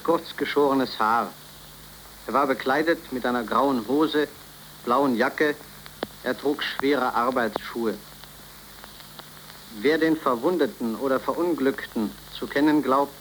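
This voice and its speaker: An actor playing an impassive radio news announcer of the 1930s, reading bulletins in a clipped, formal manner. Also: male voice, medium pitch, fairly bright tone, lightly acted. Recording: old 1930s radio recording, faint crackle.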